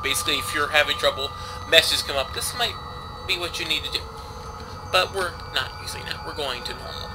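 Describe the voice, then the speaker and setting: A man's voice: fairly high pitch, background noise. A man casually commentating a game he is playing, rambling and offhand with lots of fillers. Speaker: let's play host.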